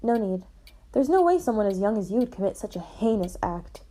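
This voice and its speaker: A woman's voice: medium pitch, big pitch swings, brisk, warm tone. A woman playing a level-headed, matter-of-fact investigator who keeps firm order in a puppet-show murder mystery. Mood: measured.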